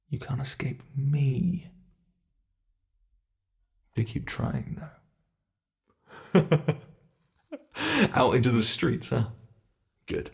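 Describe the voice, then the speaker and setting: A man's voice: medium pitch, creaky. A man voicing an ancient male monster in an ASMR roleplay, a cruel and playful predator who taunts his prey and drops into close whispers. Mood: taunting.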